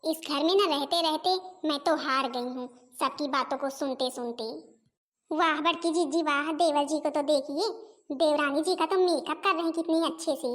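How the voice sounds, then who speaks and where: Casting: woman acting as a very high-voiced cartoon housewife, put-upon, pleading and talkative. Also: a bit bright. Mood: aggrieved. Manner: complaining, pleading, addresses relatives and viewers directly. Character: weary, gossipy Indian daughters-in-law in a family cartoon. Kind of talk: Hindi family comedy cartoon voice acting.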